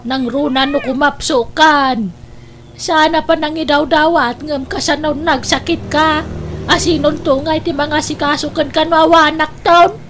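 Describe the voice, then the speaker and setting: Radio drama role: nagging older mother, high-pitched, exclamatory, advising a grown son. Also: steady tone, measured pace, a bit bright, slight background noise. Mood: scolding. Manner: scolding, exclamatory, persuasive. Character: exasperated older mother counselling her stubborn grown son. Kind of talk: Ilocano radio drama acting.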